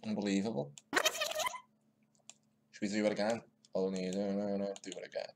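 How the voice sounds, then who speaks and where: A man's voice: lowish voice, level tone, normal speed, very noisy. A man giving casual, mocking commentary while editing clips, often muttering to himself. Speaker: video maker commenting on news clips.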